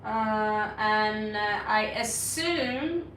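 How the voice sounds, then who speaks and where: A blunt woman with a Norwegian accent chats with her livestream viewers in a sardonic, scolding way. She sits back from the mic in an echoey room with steady background noise. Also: mid-range voice, drawn-out words, recorded from a distance. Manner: blunt, scolding, sarcastic asides to chat. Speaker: tarot reader livestream host. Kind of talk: casual live-stream chat answering viewers.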